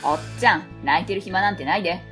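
A woman's voice: on the low side, quick pace, fairly bright tone, soft background music, lightly acted. A woman doing a cheeky Kansai schoolboy. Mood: lecturing. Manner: blunt, lecturing, matter-of-fact. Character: cheeky know-it-all schoolboy.

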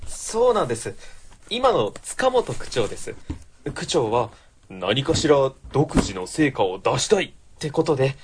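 A man's voice: medium pitch, very animated, unhurried, a little hiss or hum. A man playing an earnest, overly formal junior civil servant in an amateur radio drama, speaking in stiffly polite official phrases. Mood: polite, placating. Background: background music.

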